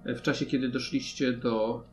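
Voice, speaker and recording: A man runs a tabletop role-playing game, narrating as he improvises. His voice is mid-pitched, with faint instrumental music playing behind it. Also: flat delivery, moderate pace, a little mellow. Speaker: game master.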